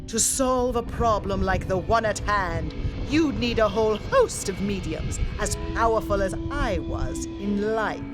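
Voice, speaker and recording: A woman's voice: mid-range voice, a little slow, few pauses, over music, lightly acted. A woman playing an imperious, scornful ghost medium in a theatrical audio drama, with a haughty, grandiose way of talking. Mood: scornful, commanding. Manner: haughty, grandiose, sharp-tongued.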